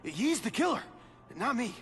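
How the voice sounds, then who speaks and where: An actor playing a frightened civilian captive in a video game, stammering and pleading. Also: male voice, very high-pitched, very animated, moderate pace, a bit bright, slight background noise, full character voice. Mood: anxious, pleading.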